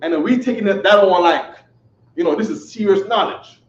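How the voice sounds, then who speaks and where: Man leading a religious class, high voice, emphatic, muffled lo-fi recording. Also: brisk. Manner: emphatic, keeps asking "you see what I'm saying?". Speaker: religious teacher. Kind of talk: live online scripture class. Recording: echoey, distant, muffled.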